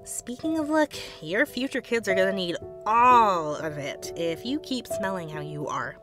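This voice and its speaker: A woman's voice: mid-range voice, animated, measured pace, over music, natural voice. A woman playing a playful, affectionate young female pony in a radio drama. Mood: playful teasing.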